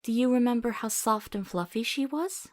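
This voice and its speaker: A woman's voice: fairly high pitch, moderate pace, noisy background, close to an everyday voice. A woman playing a compassionate young doctor in a visual novel, talking tenderly and coaxingly as if telling a soothing story.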